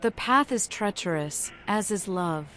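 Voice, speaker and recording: A woman's voice: medium pitch, expressive, a little slow, a bit bright, a little hiss or hum. Woman as cryptic, theatrical mad vampire woman. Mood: solemn. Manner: riddling, poetic, theatrical. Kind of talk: video game mod voice acting.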